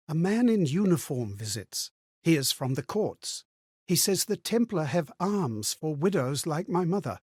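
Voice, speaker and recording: A husky, somewhat high-voiced man speaks as a troubled, amnesiac wanderer in a fantasy video game. His delivery is dramatic and measured, with an anxious sense of wonder. The recording is dry, with very little room around the voice. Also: a little mellow, no echo. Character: anxious, amnesiac male wanderer reliving strangers' memories. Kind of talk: theatrical video game character monologue.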